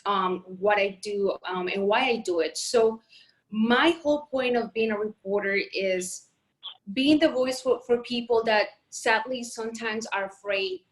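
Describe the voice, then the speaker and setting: A woman's voice: a little high, a little slow, rough recording. A woman reporter from Colombia, raised in Miami, talking in a friendly, chatty way over a phone on a video call. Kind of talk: casual talk.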